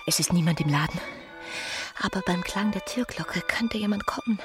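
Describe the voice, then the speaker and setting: An actress playing a desperate, passionate married woman in a German radio play, with intense delivery and a breathy voice. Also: lowish voice, moderate pace, faint music behind, close to an everyday voice. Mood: urgent, secretive. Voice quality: breathy, often whispering. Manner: intense, dramatic.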